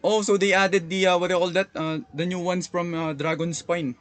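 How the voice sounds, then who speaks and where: A man giving casual gameplay commentary, halting as he thinks aloud. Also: high-pitched, little pitch movement, no echo. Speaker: game streamer. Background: game music.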